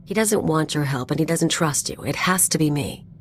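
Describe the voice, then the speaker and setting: An actress playing a tough, cynical, self-serving mercenary in a video game, blunt and casually streetwise. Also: female voice, low-pitched, expressive, normal speed, slight background noise. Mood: persuading.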